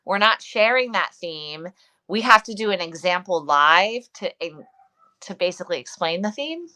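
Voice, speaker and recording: A woman's voice: fairly low pitch, animated, normal speed, sharp tone. A middle-aged woman co-hosting a casual, unscripted livestream, chatty and jokey, often repeating her phrases. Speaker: co-host of a vintage seller livestream.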